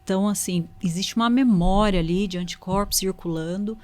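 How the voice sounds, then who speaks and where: A woman's voice: mid-range voice, brisk, darkish tone. A woman with a Brazilian Portuguese accent explaining and advising as a medical guest, conversational and instructive, often adding short check-in questions. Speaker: guest, dermatologist. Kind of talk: conversational expert explanation and advice.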